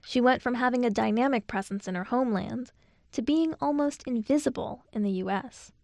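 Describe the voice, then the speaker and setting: Creaky-voiced woman radio reporter. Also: a little high, a little mellow, no room sound. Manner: measured, clear reporting delivery. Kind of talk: scripted news feature narration.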